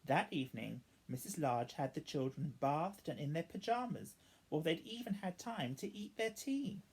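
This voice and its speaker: Low-voiced, husky woman reading a bedtime story. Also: moderate pace. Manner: animated, acting out each character's dialogue. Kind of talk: expressive children's storybook reading.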